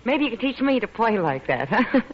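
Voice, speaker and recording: A woman's voice: a little high, very animated, brisk, husky. An actress playing a friendly, curious frontier town woman in a 1950s radio western, on a muffled old recording. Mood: friendly, interested.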